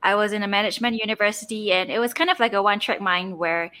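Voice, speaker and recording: A young woman from Singapore, a travel blogger guest, talking in a relaxed way with many "um"s and "like"s. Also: medium pitch, fast talker, smooth. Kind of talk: casual interview chat.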